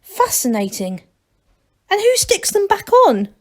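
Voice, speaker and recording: A woman's voice: extremely high pitch, wildly swooping pitch, a little slow, pitched above the performer's own voice, heavily acted. A woman voicing a clever, self-assured, impatient male gnome from a children's storybook, in an emphatic know-it-all manner. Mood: puzzled, matter-of-fact. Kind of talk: children's storybook read aloud.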